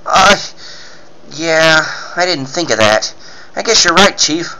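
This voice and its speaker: A man's voice: on the high side, animated, slow pace, bright, forceful tone, pitched above the performer's own voice, heavily acted, rough recording. A man voicing an earnest, pleading boy cub reporter in the manner of a 1940s radio serial. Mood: dejected. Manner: gushing, pleading exclamations.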